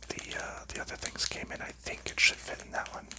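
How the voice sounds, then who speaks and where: A man hosting an ASMR video in a soft unvoiced whisper, calmly narrating each step as he packs up a board game, with relaxed fillers. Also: fairly quick. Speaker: ASMR channel host.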